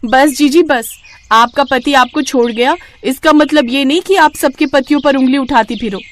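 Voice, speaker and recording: Woman voicing a high-pitched naive young bride. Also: moderate pace, lightly acted. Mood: indignant, hurt. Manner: emphatic, expressive. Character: naive young village bride, loyal and quick to indignation. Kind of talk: melodramatic story dialogue.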